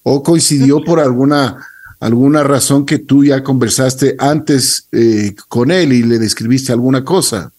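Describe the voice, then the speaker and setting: A man's voice: medium pitch, measured pace, a little mellow. A man hosting a conversational interview show.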